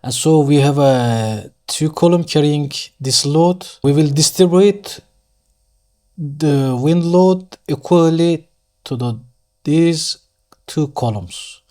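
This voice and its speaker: Slow, halting Turkish-accented man. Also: a little high, a little mellow. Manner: halting, self-correcting, apologetic, thinking aloud. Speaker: engineering software tutorial instructor. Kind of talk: unscripted screen-share tutorial, step-by-step explanation.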